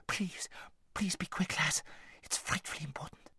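Man acting an urgent, hissing, high-voiced young girl. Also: sing-song, normal speed, no echo, full character voice. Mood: urgent pleading. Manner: hissed, breathy urgent whispering. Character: fierce, urgent, serious runaway noble girl. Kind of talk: audiobook character voice.

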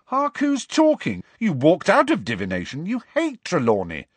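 A man's voice: high-pitched, huge pitch swings, normal speed, no room sound, voice pushed up, heavily acted. A man voicing an indignant teenage schoolboy.

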